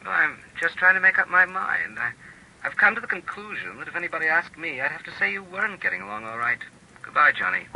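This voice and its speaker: An actor playing a cautious friend on the phone, in 1940s radio drama style, hesitant and reluctant. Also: male voice, on the high side, sing-song, pushed and bright, slight background noise, heavily acted, poor audio. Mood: guarded. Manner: guarded and hesitant, slow and reluctant.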